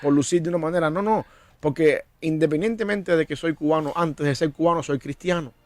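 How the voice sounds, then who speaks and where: A Cuban-born pastor speaking in an earnest, preacherly way, often doubling words as he starts a thought. His voice sits a little high for a man. The sound is dry and close, with no room echo. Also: brisk. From Cuba. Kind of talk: conversational discussion.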